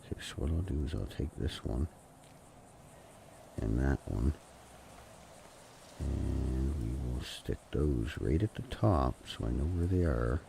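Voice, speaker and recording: A man's voice: a bass voice, animated, measured pace, vocal fry, long pauses, dark tone, a little hiss or hum. A man streaming a game, muttering to himself with a stuffy nose from a cold. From Canada. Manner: mumbling, talks to himself and the game. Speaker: gaming streamer. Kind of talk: casual solo game commentary.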